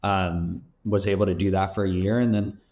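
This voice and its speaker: A man's voice: deep voice, steady tone, normal speed, darkish tone. A man in his thirties from Los Angeles, a guest on a podcast, talking casually with plenty of fillers and "like.". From Los Angeles, USA. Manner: rambling and loose, heavy use of "like" and "um". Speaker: venture capital investor, guest. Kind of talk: casual interview talk.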